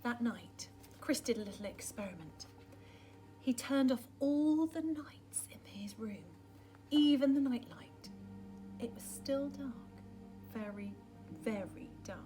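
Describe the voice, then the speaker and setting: A woman with a high voice reads a children's picture book aloud in the manner of a primary school teacher. Her delivery is dramatic and expressive, often softening to a near-whisper. Music plays behind her. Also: takes it slowly. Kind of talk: expressive children's storybook reading.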